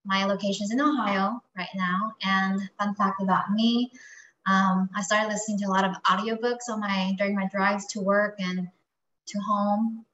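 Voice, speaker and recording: A woman's voice: medium pitch, steady tone, moderate pace, fairly bright tone. Young woman on a muffled, echoey call. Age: young adult. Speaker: family medicine resident.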